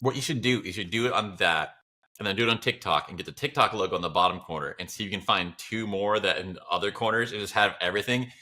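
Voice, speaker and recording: A man's voice: fairly low pitch, quick pace, bright, forceful tone. A man chatting casually as a podcast guest, talking in a reflective, encouraging and informal way. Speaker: podcast guest, audio drama creator. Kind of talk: casual chat.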